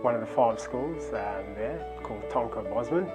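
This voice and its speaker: A man's voice: mid-range voice, expressive, normal speed. A South African man speaking earnestly as a panelist, reflective and full of "you know". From South Africa, near Somerset West. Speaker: panelist, former pupil. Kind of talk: panel discussion and interview. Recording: echoey hall with faint music.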